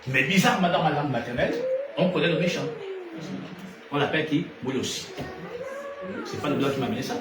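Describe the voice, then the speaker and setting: A man preaching a sermon in an animated way, rising to loud emphatic peaks and then dropping low. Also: quick pace, pauses often. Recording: echoey room from far off, noisy ambience.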